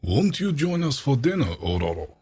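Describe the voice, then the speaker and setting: A man playing a courtly, gentle superhero with a husky voice in an audio drama. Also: medium pitch, sing-song, normal speed, no room sound, clean studio sound. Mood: admiring, polite.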